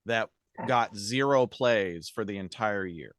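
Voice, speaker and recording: A man's voice: fairly low pitch, unhurried, crowd noise. A man co-hosting a casual talk show, speaking bluntly and matter-of-factly and swearing casually. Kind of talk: casual chat.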